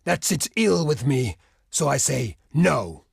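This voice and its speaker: Gravelly, proud barbarian chieftain. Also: male voice, mid-range voice, very animated, takes it slowly, no echo, clean studio sound, heavily acted. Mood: firm, measured refusal. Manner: solemn, declamatory, archaic phrasing. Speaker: video game character. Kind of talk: dramatic game diplomacy voice acting.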